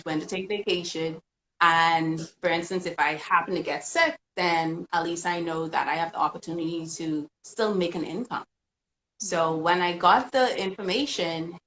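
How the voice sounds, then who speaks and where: Casual woman guest, low-pitched, easygoing, echoey room sound. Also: fairly bright tone. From Bahamas. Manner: easygoing, laughs often. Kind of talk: casual live-stream chat.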